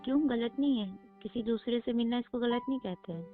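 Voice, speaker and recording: A woman's voice: fairly quick, soft background music, lightly acted. A woman playing an angry eighteen-year-old girl who accuses and questions a man in a conversational phone-call drama. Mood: insistent. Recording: muffled, low-fidelity phone-call recording.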